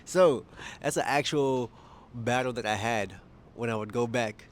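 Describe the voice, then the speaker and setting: A man's voice: mid-range voice, a little slow, slight background noise. A young man from Kenya with an American accent, talking casually to camera on a rooftop, rambling and correcting himself as he goes. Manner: rambling, self-correcting, laughs often. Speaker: vlogger. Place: rooftop outdoors.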